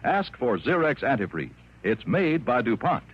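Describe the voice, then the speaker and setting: Male announcer for old-time radio spot, husky, lively intonation, muffled recording. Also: mid-range voice, normal speed. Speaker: commercial announcer. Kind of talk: 1950s-60s radio advertising read.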